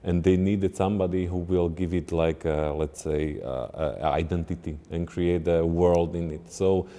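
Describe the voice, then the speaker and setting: A middle-aged man from Slovakia, a game design lead answering interview questions in halting English, often restarting mid-sentence. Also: deep voice, steady tone, warm tone, big-room sound.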